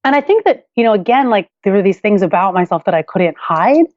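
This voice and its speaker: A woman's voice: mid-range voice, lively intonation, brisk, darkish tone, no room sound, highs cut off. A woman in her forties, a guest author, telling personal stories candidly and thinking aloud, with frequent restarts and light laughter. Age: 40s. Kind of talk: reflective personal storytelling.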